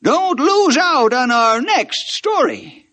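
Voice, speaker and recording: Old-timer sidekick for radio western, very high voice, animated, rustic and chummy. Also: male voice, takes it slowly, full character voice. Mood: friendly, folksy. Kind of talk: old-time radio character acting.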